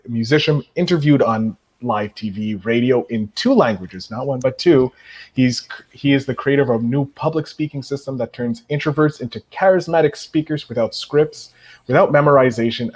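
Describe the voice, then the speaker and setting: A man's voice: medium pitch, darkish tone. A man hosting a podcast, introducing his guest in a showy announcer style. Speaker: podcast host. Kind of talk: upbeat podcast interviewing.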